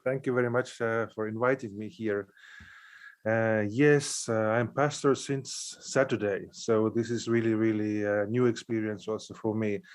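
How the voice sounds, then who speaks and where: Halting man. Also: on the low side, steady tone. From Estonia. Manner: halting and careful, with many pauses. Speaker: pastor and panelist. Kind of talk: prepared panel talk.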